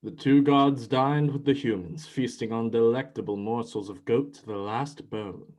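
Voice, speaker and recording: A man's voice: medium pitch, normal speed, a little mellow. A man reading scripted narration for a dramatized myth as its storyteller.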